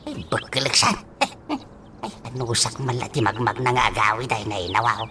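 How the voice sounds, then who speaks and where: A woman acting an excitable, doting old father in a radio drama, exclaiming and drawling with chuckles. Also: very deep voice, moderate pace, background noise. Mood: exasperated, animated. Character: excitable, chuckling old father who dotes on his child.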